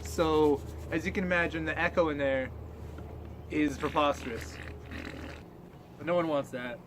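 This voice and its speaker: A man's voice: on the high side, fairly even intonation, a little slow, background noise. A man trading crude, profane jokes and mock news as a comedy co-host. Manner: profane, irreverent.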